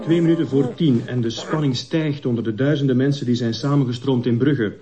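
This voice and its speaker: An actor playing an earnest news reporter in a screen drama, building suspense in a live broadcast. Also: male voice, mid-range voice, fairly even intonation, a little fast, warm tone, faint music behind, lightly acted. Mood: suspenseful. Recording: live report over crowd hubbub.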